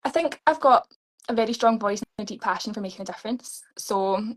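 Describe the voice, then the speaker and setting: A woman's voice: medium pitch, a little fast. A young woman from Scotland with a Scottish accent, answering questions earnestly and upbeat in a live online interview, laughing often. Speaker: pageant contestant.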